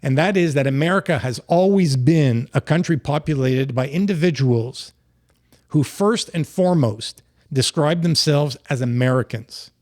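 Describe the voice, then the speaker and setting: A man co-hosting a podcast, arguing politics in quick, interrupting bursts. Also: a little high, a little mellow. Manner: interrupting, argumentative, fast bursts. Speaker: podcast co-host. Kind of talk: political debate chat.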